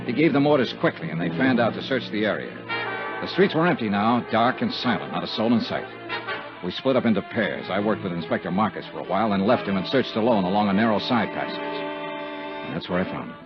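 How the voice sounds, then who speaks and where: An actor playing a tough, wry insurance investigator in a 1950s radio drama, American accent, blunt and sardonic. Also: male voice, lowish voice, animated, fast, natural voice. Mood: grim narration. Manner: hard-boiled, sardonic, blunt. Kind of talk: 1950s radio detective acting and first-person narration. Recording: muffled 1950s radio recording, dramatic music behind.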